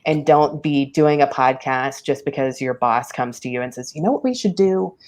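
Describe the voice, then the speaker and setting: A woman with a strikingly deep, low-pitched voice chats candidly as a guest in a casual interview. She laughs easily and fills pauses with ums while talking shop about the radio business. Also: darkish tone. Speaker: public radio station director.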